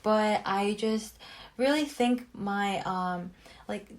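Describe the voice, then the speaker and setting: A woman in her twenties from South Korea, co-hosting a casual podcast and talking with many pauses and fillers. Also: mid-range voice. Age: 20s. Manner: thoughtful, many fillers and restarts. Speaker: podcast co-host.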